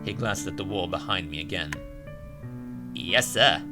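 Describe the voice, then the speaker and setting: A man's voice: low-pitched, quick pace, over music. A man reading a visual novel aloud as game narration, with occasional asides of his own. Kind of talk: narrated read-aloud let's play with asides.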